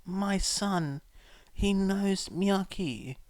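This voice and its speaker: Ragged scarred woman played by a male narrator, raised pitch, hoarse cracked voice, halting, apologetic, audiobook character. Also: darkish tone, dry recording. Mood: hesitant, pleading. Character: eyeless, scarred, homeless-looking mother, apologetic and awkward.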